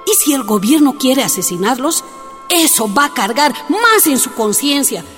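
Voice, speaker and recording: A woman's voice: high voice, big pitch swings, moderate pace, a bit bright, big-room sound, faint music behind. An actress with a Bolivian accent playing an anguished, humble but defiant imprisoned miner's wife and mother in a radio drama. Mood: anguished defiance.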